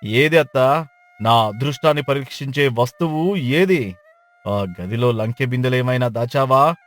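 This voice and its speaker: A man playing a lazy but hopeful village man in a dramatized folk tale, coaxing and boastful, with drawn-out delivery. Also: mid-range voice, fairly bright tone, soft background music, lightly acted. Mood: eager, curious.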